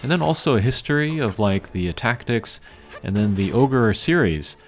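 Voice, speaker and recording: A man giving casual, unscripted gameplay commentary in a rambling, low-key way with frequent hesitant pauses. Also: lively intonation. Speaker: game video creator. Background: video game music.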